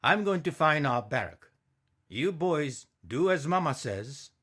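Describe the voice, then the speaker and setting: A man's voice: on the high side, very animated, a little slow, fairly bright tone, voice pushed up, full character voice. A man voicing a dutiful, protective father who speaks firmly and in an orderly way in a storybook reading. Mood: firm, reassuring.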